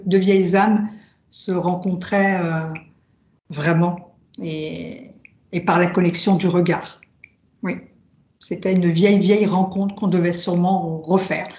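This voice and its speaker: A woman born in France to Italian parents, with Italian as her first language, telling her story slowly with many pauses and fillers. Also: fairly low pitch, darkish tone. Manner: hesitant, many fillers, reflective. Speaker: drum maker, guest. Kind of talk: casual interview, personal story. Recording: roomy, dull lo-fi sound.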